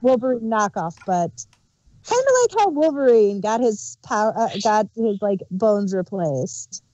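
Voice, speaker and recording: Slow-talking woman, warm and amused. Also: mid-range voice, sing-song, no room sound, highs cut off. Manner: unhurried, amused, laughs often. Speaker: podcast co-host reviewing an audio drama. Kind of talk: casual review chat.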